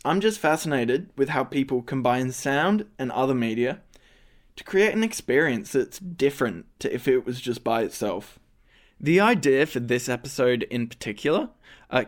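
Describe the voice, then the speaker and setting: A young man hosts a podcast in a halting, self-deprecating way, with a slight stammer. His voice sits in an ordinary middle register. He sounds earnest rather than polished. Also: medium pitch. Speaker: podcast host. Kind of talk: scripted podcast introduction.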